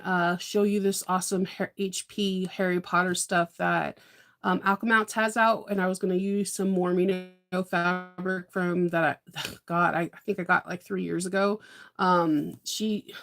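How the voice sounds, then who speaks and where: A woman's voice: medium pitch, steady tone, unhurried. A woman from California chatting casually while she plans her sewing projects, rambling with lots of fillers and frequent laughs. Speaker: sewing vlogger. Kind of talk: casual live-stream chat.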